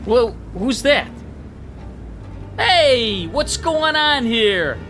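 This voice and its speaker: An actor playing a blunt, loud-mouthed, streetwise male cab driver in a radio drama. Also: very high-pitched, very animated, drawn-out words, soft background music, heavily acted. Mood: indignant. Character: brash, indignant city cab driver.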